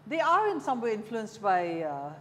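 A woman's voice: mid-range voice, sing-song, moderate pace. A middle-aged woman, asking questions conversationally as a talk show host. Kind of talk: conversational interviewing. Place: indoor cafe-like room, seated at a long table. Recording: reverberant room with faint hum.

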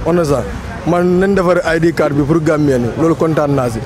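Fast-talking man complaining on the street. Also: a little high, crowd noise. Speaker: passer-by interviewee. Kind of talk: street opinion. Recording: outdoor street noise.

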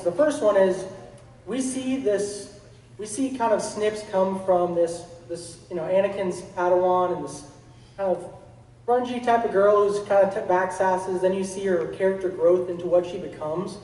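A man in the audience asks the panel a question, casual and slightly halting. His voice is mellow and dark, sounding far away in a big hall with a long reverb. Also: high-pitched. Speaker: audience member asking a question.

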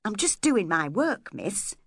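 A woman's voice: medium pitch, very animated, measured pace, a bit bright, breathy edge, no echo, clean studio sound, heavily acted. A woman voicing an outspoken female household servant in a storybook, frank and reproving. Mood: feigned innocence.

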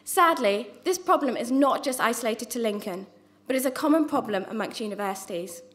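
A woman's voice: fairly high pitch, animated, brisk, a bit bright. A young woman student, not from New Zealand, giving an earnest prepared speech from notes in a university lecture theatre into a stand microphone, with a few small restarts. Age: young adult. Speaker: student presenter.

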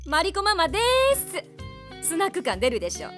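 An actress playing a brash, flashy snack-bar mama who talks bossily and sharp-tongued, in an audio drama with music behind. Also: female voice, very high voice, wildly swooping pitch, moderate pace, full character voice. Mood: breezy.

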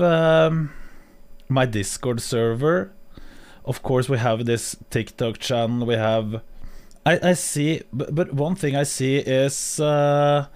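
Slow-talking Norwegian man streaming. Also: mid-range voice. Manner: slow, hesitant, many fillers and restarts. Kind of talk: casual live-stream chat. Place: home streaming room. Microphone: studio mic on boom arm, headphones.

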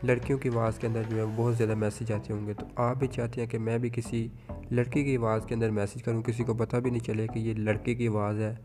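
A young man hosts an informal step-by-step app tutorial, explaining repetitively and addressing viewers directly. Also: medium pitch. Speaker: tutorial video host. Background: background music.

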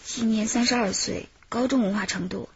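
A woman's voice: on the high side, fairly even intonation, moderate pace, breathy edge. An actress playing a chatty, self-pitying housewife in her thirties in a radio drama, rambling and gossipy with dramatic sighs. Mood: plaintive, chatty. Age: 30s. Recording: muffled, roomy radio drama recording.

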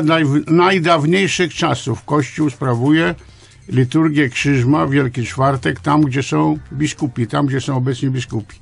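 An older man, a priest, answering in a radio interview in a deliberate, halting, didactic way. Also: fairly high pitch, vocal fry. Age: older, around 70. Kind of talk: interview answers, explaining church liturgy. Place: small radio studio, indoors. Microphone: studio microphone on boom arm.

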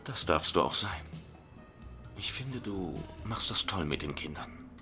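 An easygoing, playful young husband in a dubbed sitcom. His jokey swings widely in pitch, over clearly audible music. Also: measured pace. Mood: tender. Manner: jokey, excitable.

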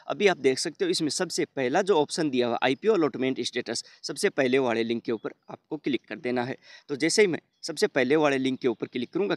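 A man's voice: mid-range voice, no echo, studio quality. A man narrating a screen-recorded how-to tutorial, walking viewers through each step with many repeated fillers. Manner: step-by-step, repetitive fillers, addresses viewers as friends. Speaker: tech tutorial host.

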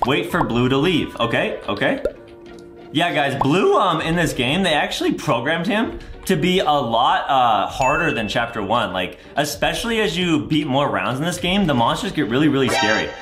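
A man, giving exaggerated, excitable gameplay commentary to viewers and often repeating his phrases. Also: a little high, moderate pace, echoey room, soft background music. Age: 20s. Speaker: gaming video host.

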